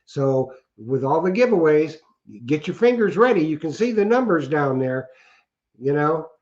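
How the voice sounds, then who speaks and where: A man's voice: on the high side, big pitch swings, normal speed, a little mellow, dull audio. A man co-hosting a casual livestream chat, talking in a folksy, rambling way. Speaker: co-host.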